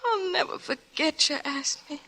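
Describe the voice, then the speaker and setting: An actress in a 1940s radio drama playing a tender, worldly frontier woman in love, with a halting, pleading delivery. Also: high voice, bright, forceful tone. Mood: resigned, sad. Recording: old radio broadcast, music underneath.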